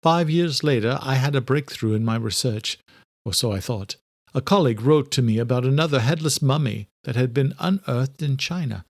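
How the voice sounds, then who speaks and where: A man voicing an elderly scholarly doctor in an audiobook, courteous and direct, with formal, deliberate, old-fashioned phrasing. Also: mid-range voice, no echo, studio quality, lightly acted. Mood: earnest, regretful. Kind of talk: audiobook character dialogue.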